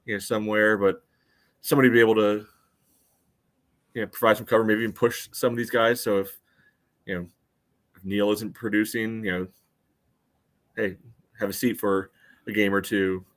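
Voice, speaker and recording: A man with a somewhat low voice chats as a sports podcast host. He sounds conversational and measured, qualifying his points as he casually breaks things down. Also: level tone.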